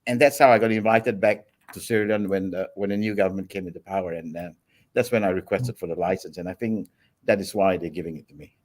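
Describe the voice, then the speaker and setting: A man in his forties from Singapore, a guest on an interview show, telling his story in a relaxed, steady way with many ums. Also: sits low, fast. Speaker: guest entrepreneur.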